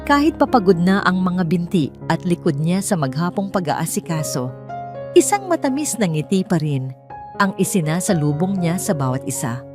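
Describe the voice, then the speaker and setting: A woman's voice: lowish voice, sing-song, moderate pace, music in the background. A woman reading a scripted emotional story as a dramatic narrator.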